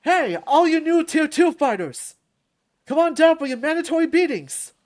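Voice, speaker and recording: A woman's voice: mid-range voice, animated, unhurried, a little mellow. A woman voicing a huge, boisterous male beast fighter in a visual novel, jeering and commanding with a showman's swagger. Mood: loud, taunting.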